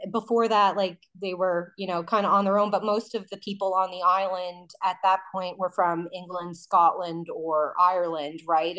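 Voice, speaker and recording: A woman's voice: lowish voice, fairly bright tone, rough recording. A woman from Canada with a slight Nova Scotian accent, hosting a casual podcast chat and rambling with many fillers and restarts. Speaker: podcast host.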